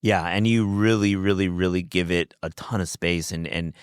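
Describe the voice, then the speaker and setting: A man's voice: low voice, fairly even intonation, moderate pace, smooth, no room sound. A man hosting a podcast interview in a studio, talking effusively with frequent stumbles and repeated words. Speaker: podcast host. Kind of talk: casual interview chat. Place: recording studio.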